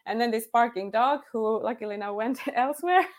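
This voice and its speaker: A woman's voice: fairly high pitch, brisk. A woman in her thirties with a Finnish accent, a podcast guest who speaks openly, laughs often and leans on "like". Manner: laughs often, candid, says "like" a lot. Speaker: podcast guest, yoga teacher. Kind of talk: casual interview chat.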